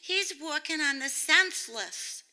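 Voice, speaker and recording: A woman's voice: high voice, lively intonation, slow pace, background noise. A woman playing a chatty, cheeky little neighbour girl in old-time radio comedy style, childish and insistent. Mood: smug, playful. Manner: childish, insistent, repeats herself.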